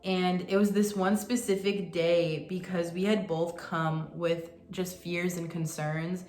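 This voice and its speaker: A young woman talking casually and earnestly to her viewers, sometimes in quick bursts. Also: lowish voice, level tone, a little mellow, roomy sound. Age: young adult. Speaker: vlogger. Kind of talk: casual chat to camera.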